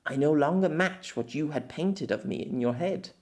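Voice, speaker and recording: A man's voice: a little high, normal speed, a little mellow, voice pushed up, natural voice. A man voicing a proud, teasing young noblewoman with magic in an audio story, speaking in a haughty, grandly formal way. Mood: reasoning, gentle. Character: young regal woman, proud, theatrical, teasing sorceress.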